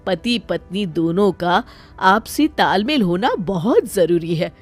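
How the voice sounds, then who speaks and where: Lady doing an older cartoon mother-in-law, wise, gently telling off, slow and measured. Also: on the low side, animated, soft background music, pitched below the performer's own voice. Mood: gently scolding. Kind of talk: animated moral story dialogue.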